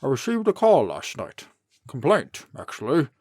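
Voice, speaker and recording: A man's voice: mid-range voice, wildly swooping pitch, moderate pace, darkish tone, slightly rough, no echo, studio quality. A man voicing a pompous, fussy, genial king who serves as mayor, in a comic dub. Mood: troubled, fussy. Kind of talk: comic dub character acting.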